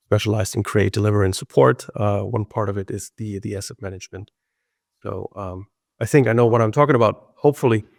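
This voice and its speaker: A man's voice: lowish voice, gravelly, no room sound, clean studio sound. A man hosting an online webinar and introducing himself and his company, speaking with frequent ums and uhs. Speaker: webinar host, company co-founder. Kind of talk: hesitant presentation with many fillers.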